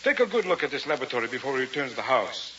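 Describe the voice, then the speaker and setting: Arrogant scientist, hissy old radio sound. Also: male voice, mid-range voice, big pitch swings, rapid-fire, bright, forceful tone. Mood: pompous lecturing. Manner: pompous, lecturing. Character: arrogant, coldly rational male scientist and domineering husband. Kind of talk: vintage radio drama acting. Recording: muffled, hissy vintage radio recording.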